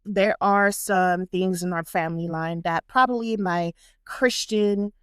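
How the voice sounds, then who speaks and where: Animated South Florida woman guest. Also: lowish voice, a little slow, clear, resonant tone, dry recording, studio quality. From Miami, Florida, United States. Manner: animated and reflective, laughs often. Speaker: podcast guest, social worker. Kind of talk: casual conversation.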